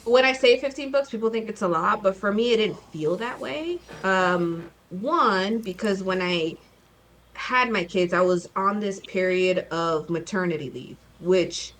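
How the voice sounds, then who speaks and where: A woman in her thirties, a guest author chatting casually in an interview, talking fast with lots of fillers and acted-out quotes. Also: mid-range voice, a bit bright, a little hiss or hum. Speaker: guest, children's book author.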